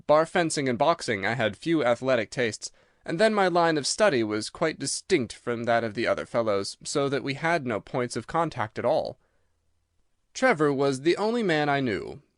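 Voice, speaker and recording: A man's voice: medium pitch, dry recording, studio quality, lightly acted. A man voicing a cerebral, self-possessed detective who recounts his past in articulate, precise detail for an audiobook. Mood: reflective. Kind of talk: dramatised audiobook reading.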